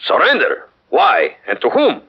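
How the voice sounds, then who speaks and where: Stiff-necked Spanish commander, formal and lofty, lively pitch, muffled old radio sound. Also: male voice, unhurried, husky, heavily acted. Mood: defiant. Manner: lofty, formal, dictating a letter. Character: proud defiant Spanish fort commander, man. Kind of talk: 1940s radio acting. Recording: dull lo-fi 1940s radio broadcast.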